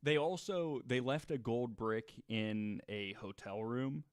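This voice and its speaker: A man co-hosting a podcast, telling a story in a casual, halting, jokey way and often chuckling as he talks. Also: medium pitch, smooth, no echo, studio quality. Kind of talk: casual comedic storytelling chat.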